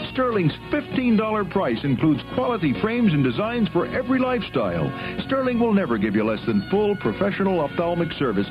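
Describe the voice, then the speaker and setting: A male announcer with a high, animated voice reads a scripted radio commercial. The recording is a slightly dull 1970s aircheck, with music clearly heard underneath.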